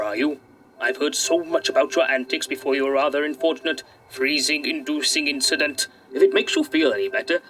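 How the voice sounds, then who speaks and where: A man's voice: on the high side, poor audio. A man playing a pedantic, senile Welsh robot AI in a comic sci-fi audio drama. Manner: pedantic, wordy, over-precise with figures. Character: fussy, senile male robot AI running galactic control centres.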